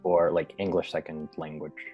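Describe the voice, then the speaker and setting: A young man whose first language is Russian talks at length in a very low, deep voice. He circles around his points with ums and restarts, reflective and a little rambling. Faint music plays underneath. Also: flat delivery, moderate pace, highs cut off. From Belarus. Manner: thoughtful, wordy, hesitant with fillers. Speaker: English teacher, guest. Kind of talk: casual conversation.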